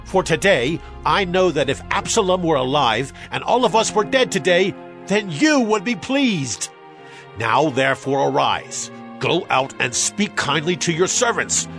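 Blunt ancient commander, scripture audio drama. Stern, reproachful, fairly high voice over soft music. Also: male voice, gravelly, full character voice. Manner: forceful, reproachful. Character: stern, blunt military commander rebuking his king.

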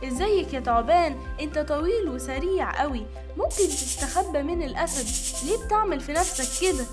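Woman as a high-voiced clever little rabbit. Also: lively intonation, brisk, over music. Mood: determined. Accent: Egyptian Arabic.

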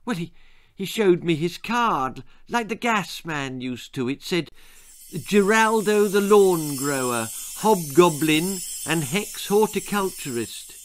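Man putting on a high, bubbly excited mum. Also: slow pace, heavily acted. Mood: giggly, thrilled. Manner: bubbly, breathless with delight. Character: Spike's giggly, bubbling, excited mother, adult woman.

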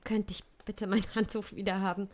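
A woman's voice: mid-range voice, flat delivery, normal speed, darkish tone, slight background noise, natural voice. Woman playing a polite, probing female detective. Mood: polite, firm.

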